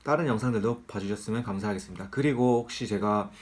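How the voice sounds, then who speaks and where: A man's voice: lowish voice, quick pace. A man with a cold giving a casual, candid sneaker review in a nasal, slightly strained voice, joking about being broke. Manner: chatty, candid, self-deprecating about money.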